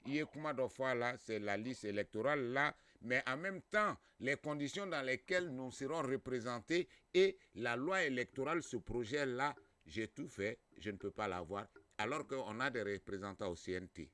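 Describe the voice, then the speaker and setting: A man's voice: medium pitch, lively intonation, normal speed, hardly any pauses, fairly bright tone, no room sound. A man hosting a radio talk show, speaking hesitantly with many fillers and often chiming in to agree.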